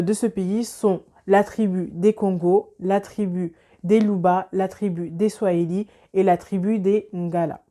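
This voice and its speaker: A woman with a soft-edged, mellow voice presents an explanatory video. She speaks in a measured, instructive manner at a medium pitch, addressing the viewer directly. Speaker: lifestyle video host.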